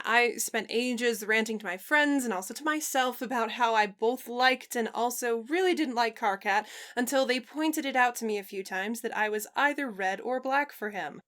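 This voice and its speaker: Woman acting young male troll, high voice, blurting, slangy, self-deprecating, lovestruck, fan audio drama. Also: moderate pace, pushed and bright. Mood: sheepish rambling.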